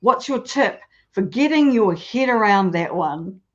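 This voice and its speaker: A woman's voice: mid-range voice, lively intonation, unhurried. A woman hosting a live stream interview, chatty and hesitant with many ums. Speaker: host. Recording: dull lo-fi audio.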